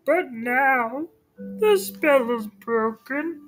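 A man voicing a gentle young prince in a storybook reading, speaking high and slow. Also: animated, soft background music, exaggerated voice, rough recording.

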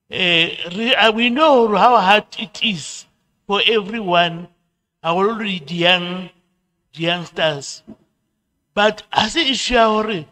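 A woman's voice: medium pitch, fairly bright tone. A middle-aged woman on the committee addressing a public hearing into a handheld mic, switching between Sesotho and English and repeating herself. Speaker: committee member. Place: community hall. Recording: long hall reverb.

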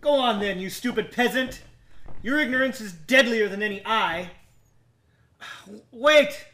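High-pitched, haunted young gentleman. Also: expressive, a little slow, heavily acted. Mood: angry, distraught. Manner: theatrical, agitated, old-fashioned diction. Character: haunted, sleepless young gentleman, anxious and volatile. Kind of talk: radio drama acting with dramatic monologue.

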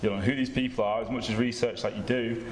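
A man's voice: medium pitch, fairly even intonation, fast. A man, a photographer, talking informally on a panel in a gallery, recorded from a distance. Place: large gallery room with seated audience. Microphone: distant camera microphone. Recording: echoey noisy room from far off.

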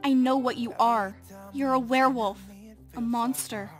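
A woman's voice: fairly high pitch, steady tone, a little slow, faint music behind, close to an everyday voice. A woman acting a grieving, betrayed young woman in an amateur game roleplay. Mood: distraught.

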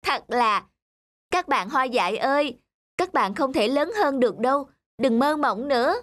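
A woman's voice: sits high, expressive, normal speed, a bit bright, no echo. A woman voicing a dismissive, matter-of-fact little bird in a children's cartoon, with a scoffing manner.

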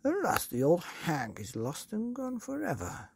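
A woman's voice: on the low side, huge pitch swings, a little slow, vocal fry, background noise, pitched above the performer's own voice, heavily acted. A woman voicing a nasty scheming old husband who speaks in a sly, falsely sweet way in a storybook reading. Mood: gloating.

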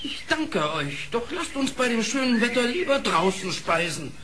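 An actor playing a noble, courteous crane in a radio play, with formal manners. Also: male voice, very high-pitched, big pitch swings, normal speed, a crowd in the background. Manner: polite, formal. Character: courteous, wary male crane, noble bird. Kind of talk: German radio play acting.

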